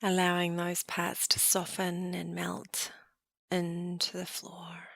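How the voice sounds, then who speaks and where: Slow, hushed woman guiding meditation. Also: fairly low pitch, vocal fry, no room sound. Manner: calm, gentle, unhurried phrasing with soft trailing endings. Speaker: meditation guide. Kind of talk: guided meditation, slow soothing narration.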